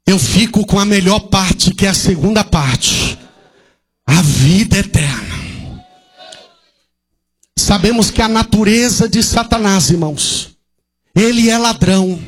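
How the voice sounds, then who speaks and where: A man with a very high-pitched voice preaches at a church service, drawing out his words at an unhurried, deliberate pace. He delivers an impassioned sermon as the pastor, asking rhetorical questions and punctuating his points with cries of hallelujah.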